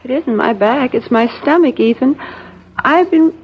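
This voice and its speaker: An actress playing an ill, fearful wife who complains in a plaintive, whining way, in a 1970s radio drama. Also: female voice, sits high, measured pace, a little hiss or hum. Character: ailing complaining wife, fearful and self-pitying. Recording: dull old radio broadcast.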